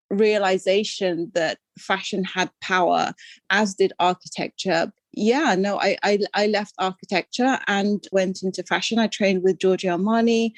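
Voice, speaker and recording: A woman's voice: medium pitch, no room sound. Dry-voiced older woman, reflective guest. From Sudan, raised in London. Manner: reflective, self-deprecating, with many ums and restarts. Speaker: podcast guest. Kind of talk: conversational interview.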